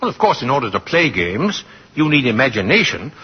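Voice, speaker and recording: An actor playing a kindly, whimsical old gentleman in 1940s radio style, coaxing and full of little questioning tags. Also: mid-range voice, very animated, fairly quick, husky. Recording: muffled, noisy 1940s radio broadcast.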